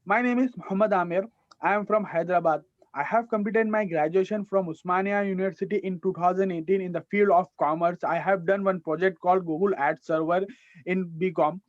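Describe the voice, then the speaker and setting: A man's voice: sits high, fairly even intonation, brisk, no echo, dull audio. A young man in his twenties with an Indian English accent, a student reciting a rehearsed self-introduction with small restarts over a video call.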